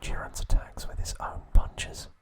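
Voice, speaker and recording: Slow whispering man, ASMR narration. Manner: hushed, slow, deliberate, broken into short phrases. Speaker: ASMR host.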